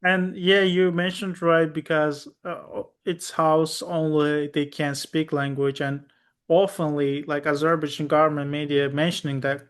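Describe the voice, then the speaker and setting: A man interviewing a guest in a casual, halting way with informal nonnative phrasing. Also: on the high side, level tone, clear, resonant tone.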